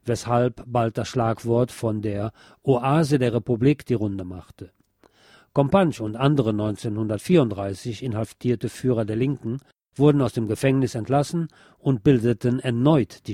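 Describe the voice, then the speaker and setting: A man narrates from a history book for a radio programme. His voice is dark and relaxed, with a medium pitch, and very dry and close. The delivery is measured, factual and formal, as a scripted reading. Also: no echo.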